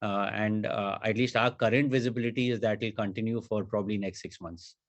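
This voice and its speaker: A low-voiced man speaks as a company executive on an earnings call. He answers in a measured way, pausing and repeating words as he builds his points. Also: fairly even intonation.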